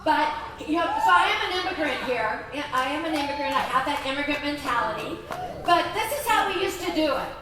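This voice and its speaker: High-voiced woman in an echoey hall. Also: animated, fairly quick, recorded from a distance. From Greece. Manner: chatty, asks the audience questions. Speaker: convention speaker. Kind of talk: presentation to an audience. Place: large convention hall.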